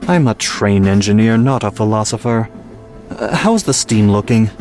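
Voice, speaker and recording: A man's voice: fairly low pitch, expressive, normal speed, darkish tone, close to an everyday voice. Blunt, grumbling train engineer played by a man, music behind. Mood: dismissive, blunt. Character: gruff fatalistic male steam train engineer, uneasy about the route. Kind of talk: amateur audio drama acting.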